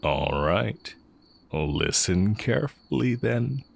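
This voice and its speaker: A man playing a sly, heretical magic teacher with secret longing, speaking in a measured, teasing way in a romantic audio roleplay. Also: on the low side, very animated, vocal fry, breathy edge, exaggerated voice. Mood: grave, deliberate. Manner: measured, deliberate, teasing delivery with drawn-out pauses.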